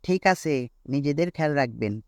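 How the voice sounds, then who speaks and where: A man's voice: a little high, expressive, normal speed, a little mellow, no room sound, studio quality. A man playing a matter-of-fact hotel manager in a ghost-story cartoon. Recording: faint noise with night insects.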